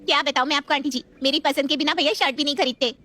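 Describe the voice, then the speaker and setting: A woman plays a pampered young married sister in a domestic story, chirpy, proud and quick to take offence. She chatters emphatically and fast in a fairly high voice. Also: fairly even intonation, pushed and bright. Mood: excited. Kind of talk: scripted family story acting.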